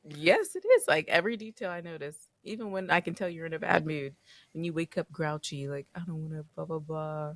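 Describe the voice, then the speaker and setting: A woman's voice: low voice, expressive, dry recording. A woman in her twenties co-hosting a podcast, a teacher bantering and teasing in casual chat with her husband. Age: 20s. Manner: playful, teasing banter with quick laughs. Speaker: podcast co-host; public school teacher.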